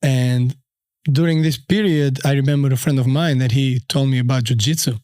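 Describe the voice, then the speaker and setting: A man in his thirties from Albania with an Albanian accent, a podcast guest telling his story in a halting, easygoing way with many fillers. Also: mid-range voice, fairly even intonation, a little mellow, no echo, studio quality. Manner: unhurried, halting, frequent fillers. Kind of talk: casual storytelling interview.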